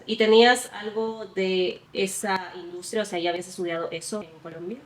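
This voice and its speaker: A woman's voice: medium pitch, fairly even intonation, moderate pace, low-quality recording. A woman hosting a podcast interview, asking questions in a polite, welcoming way. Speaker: podcast host. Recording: faint background noise.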